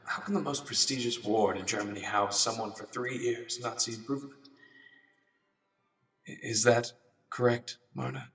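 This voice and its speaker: A man's voice: mid-range voice, steady tone, moderate pace, long pauses, fairly bright tone, lightly acted. A man playing an earnest, awkward doctor in a radio drama, hesitant, apologetic and reflective as he questions a patient. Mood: frustrated, pondering. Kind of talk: radio drama acting with inner monologue. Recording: distant mic, music underneath.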